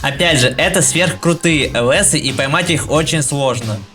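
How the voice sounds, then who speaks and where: A man's voice: fairly high pitch, moderate pace, bright, forceful tone. Man with an impeded L, music behind. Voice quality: speech impediment on the L sound. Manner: informal, slangy, explanatory. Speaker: video game guide creator. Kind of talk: casual scripted gaming tutorial narration. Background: background music.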